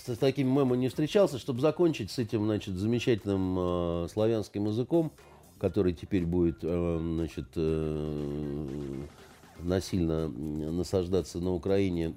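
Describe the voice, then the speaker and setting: A man's voice: sits low, normal speed, warm tone. A middle-aged man in casual political chat, cutting in with blunt, curt remarks.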